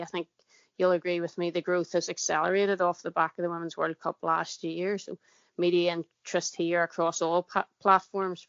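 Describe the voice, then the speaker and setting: A woman with a Northern Irish accent, a football player answering interview questions in a steady, measured way. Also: fairly low pitch, smooth, no echo, highs cut off. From Northern Ireland. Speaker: guest, football player and pundit.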